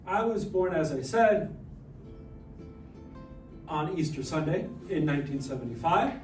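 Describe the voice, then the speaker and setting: A man in his forties from Hawaii, giving a slow, careful self-introduction over slides and pausing often as he explains. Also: a little high, lively intonation. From Hawaii, raised partly in New Jersey. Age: 40s. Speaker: presenter introducing himself to a class. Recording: echoey room, voice a bit far from the mic, faint music.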